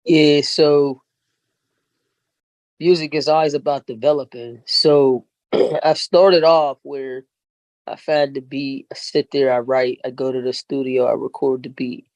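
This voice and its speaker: A woman's voice: extremely low pitch, fairly even intonation, measured pace, darkish tone, no echo, poor audio. A woman rapper talking as a guest in a casual podcast interview, laid-back and slangy, often saying "you know what I'm saying".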